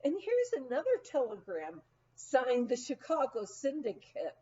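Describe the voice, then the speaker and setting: A woman voicing a businesslike telegraph operator in a memoir, announcing messages in a deliberate manner. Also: sits high, wildly swooping pitch, dark tone, lo-fi sound, pitched above the performer's own voice, full character voice. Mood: formal, announcing. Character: businesslike female telegraph operator reading out wires.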